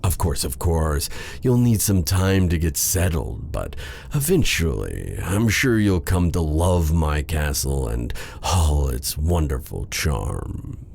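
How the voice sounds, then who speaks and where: A man playing a possessive, silky dark sorcerer in a theatrical fantasy ASMR roleplay, drawing out courtly endearments with quiet menace. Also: very deep voice, big pitch swings, slow, vocal fry, a little hiss or hum, full character voice. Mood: smooth, menacing.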